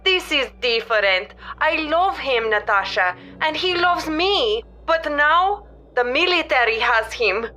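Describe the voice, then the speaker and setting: A woman's voice: high-pitched, expressive, slow, hardly any pauses, pushed and bright, full character voice. A woman playing a distraught, lovesick and touchy younger sister in an audio drama, speaking emotionally and insistently. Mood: upset, insistent. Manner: emotional, exasperated.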